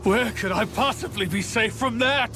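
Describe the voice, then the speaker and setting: Frantic, high-pitched haunted madman. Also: moderate pace, gravelly, noisy background. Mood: frantic terror. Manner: frantic, theatrical wailing. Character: haunted, guilt-maddened man terrified of death. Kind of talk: dramatic video game acting.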